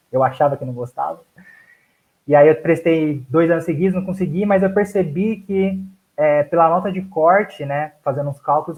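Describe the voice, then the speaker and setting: A man's voice: on the high side, a little mellow, rough recording. A man in his thirties, a physics PhD student, telling his own story casually in a debate, with many restarts and fillers.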